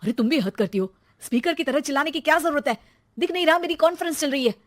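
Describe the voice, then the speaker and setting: Woman as cartoon dad, irritated, teasing, dry complaints, high pitch, lively intonation. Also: fast. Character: irritated, teasing husband and father working from home. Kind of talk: animated cartoon story voice acting.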